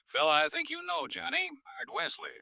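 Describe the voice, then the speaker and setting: An actor playing a worried, excitable insurance man in a 1950s American radio drama. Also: very high-pitched, fairly quick, gravelly, dry recording, exaggerated voice. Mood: agitated. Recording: vintage 1950s radio recording.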